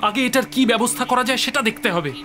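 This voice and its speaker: A man's voice: very high voice, quick pace, pushed and bright, soft background music. A man playing a scientist's colleague, a monster-hunting doctor, in an audio drama with theatrical, emphatic delivery. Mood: urgent. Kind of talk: Bengali audio drama acting.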